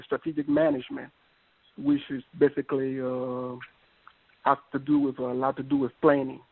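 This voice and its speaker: A middle-aged man with a Liberian accent introducing himself formally and deliberately as a party official on a call-in show. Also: medium pitch. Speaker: political party official, guest. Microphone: telephone. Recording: thin narrow phone line.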